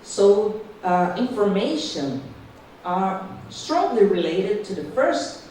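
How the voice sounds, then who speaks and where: Brazilian woman law professor, Portuguese accent; careful halting panel talk, very echoey hall with faint room noise. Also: mid-range voice, big pitch swings, a little mellow. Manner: careful, halting, many restarts. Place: conference hall. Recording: distant mic in echoey hall.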